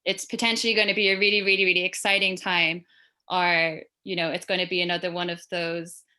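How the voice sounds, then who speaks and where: A woman's voice: on the low side, flat delivery, fairly quick, pushed and bright. An Irish woman talking as a creative guest on a video-call panel, chatty and loose, with lots of fillers. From Ireland. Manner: chatty, rambling with many fillers.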